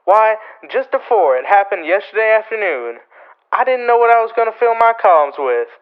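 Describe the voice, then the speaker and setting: Man playing an eager, high-voiced Old West newspaperman. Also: big pitch swings, moderate pace, clear, resonant tone, phone-quality sound. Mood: eager, gleeful. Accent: American. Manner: folksy, eager, boastful. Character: gleeful, opportunistic small-town newspaper printer in Old West. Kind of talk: Western radio drama acting.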